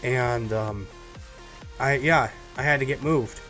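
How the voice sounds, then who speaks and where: A man's voice: medium pitch, slow, fairly bright tone, soft background music. A man with a stuffy, congested voice gives a casual personal update to his viewers, rambling with lots of ums and restarts. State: stuffed up, nasal from a cold. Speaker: YouTuber.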